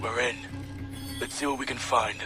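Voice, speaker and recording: A man acts out a brisk, commanding squad leader from a military video game. He keeps his lines clipped and focused, at a middling pitch, with loud music playing over him. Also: fairly even intonation, close to an everyday voice. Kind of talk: video game cutscene acting, radio-style military dialogue.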